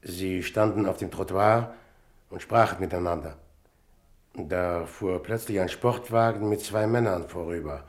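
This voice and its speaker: An actor playing a calm, courteous investigator with a gravelly voice and measured, polite delivery in a German radio crime drama. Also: male voice, deep voice. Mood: sober, reporting.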